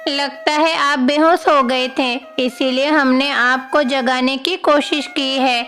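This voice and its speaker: A woman's voice: high-pitched, fairly even intonation, slow pace, fairly bright tone, slight background noise. A woman voicing an earnest, caring young boy god in a devotional story. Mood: polite, explaining. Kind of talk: devotional animated story dialogue.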